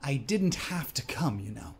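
A man's voice: mid-range voice, sing-song, measured pace, slightly rough, faint music behind, exaggerated voice. A man playing a pushy, aggravated ex-boyfriend who needles and gets defensive in an audiobook drama. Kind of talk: audiobook character dialogue.

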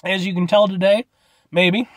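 A man's voice: high voice, normal speed, no room sound, clean studio sound. A man in his thirties, a little stuffed up from a cold, rambling through a casual food review vlog from his parked car, talking into a phone held at arm's length. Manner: rambling, animated, gestures a lot. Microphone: phone camera at arm's length.

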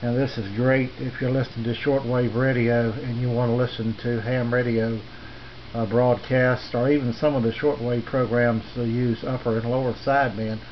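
A man giving a casual, rambling hobby video tour in a halting, folksy way, speaking from behind a handheld camera in a small room. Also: mid-range voice, level tone. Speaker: amateur radio hobbyist presenting his equipment. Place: small indoor home radio room. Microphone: handheld camera's built-in mic, behind the lens. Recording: dull lo-fi camera audio, noisy room.

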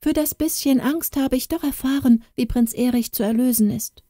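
A woman's voice: high-pitched, moderate pace, warm tone, no room sound, clean studio sound, voice pushed up. Woman voicing a frightened young strawberry girl. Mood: relieved.

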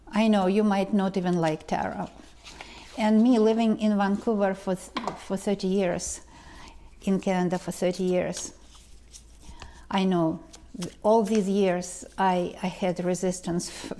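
A woman, talking slowly to camera as a tarot reader, pausing and restarting often. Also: fairly low pitch, creaky, mellow. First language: Ukrainian or Russian. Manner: slow, halting, many restarts and ums. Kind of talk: casual vlog talk to camera. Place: living room indoors. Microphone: lapel mic.

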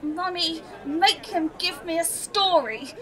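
A woman's voice: very high-pitched, slow, a bit bright, heavily acted. A woman voicing a spoilt, demanding little girl, whiny and petulant, in an audio drama.

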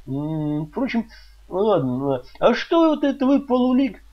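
A man's voice: high voice, big pitch swings, slow, heavily acted. Male comedy radio host: flustered, self-important, stuttering, swooping intonation, dull lo-fi sound. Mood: peevish. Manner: stammering, hesitant, fussy. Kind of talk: comedy radio sketch acting.

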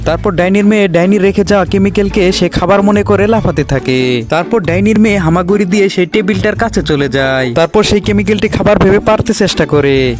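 Male storyteller: high voice, scripted children's tale narration, music bed underneath. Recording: music behind the voice.

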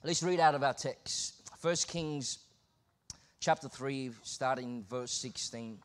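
A man's voice: medium pitch, slow, clean tone. A man in his thirties with an Australian accent, a guest preacher giving a sermon from a church pulpit. He moves from easy, conversational talk into emphatic preaching. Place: church stage behind a pulpit. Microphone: pulpit microphone.

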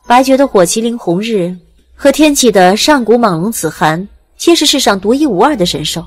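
A commanding ancient goddess with a fairly high voice. She speaks unhurriedly and with indulgent authority, in the manner of a fantasy audio drama. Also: lively intonation, moderate pace. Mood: calm explaining.

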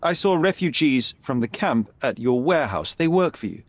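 An actor playing a young detective in a video game, measured, dry and probing. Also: male voice, medium pitch, sing-song. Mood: challenging. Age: young adult. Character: cool, wry young male detective. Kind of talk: video game cutscene acting.

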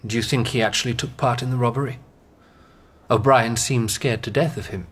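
An actor playing an awkward, eager young gentleman poet in a radio drama, hesitant and questioning. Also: mid-range voice, steady tone, a little fast, husky, close to an everyday voice. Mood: eager, flustered.

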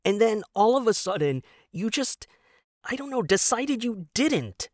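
Man playing a whiny, defensive husband, pitched up. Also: big pitch swings, unhurried, no room sound, studio quality. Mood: wounded. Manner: hesitant, stammering, defensive. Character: defensive, petulant young husband, argumentative and whiny. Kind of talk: audio drama storytelling.

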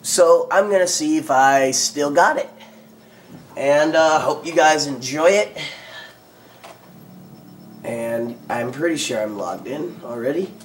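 A man with a hoarse, lost voice and a cough, giving rambling casual commentary on a video game. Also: a little high, slow, long pauses, a little hiss or hum. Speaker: gaming video host. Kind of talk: let's play commentary.

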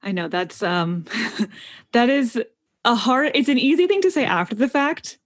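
A woman's voice: fairly high pitch, very animated, a little slow, dry recording, studio quality. A woman co-hosting a podcast, asking encouraging questions in casual chat. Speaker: podcast co-host.

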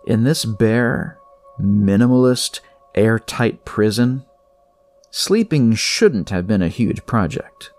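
A man narrating scripted horror fiction in a measured way, with dramatic pauses. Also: fairly low pitch, lively intonation, a little mellow, faint music behind.

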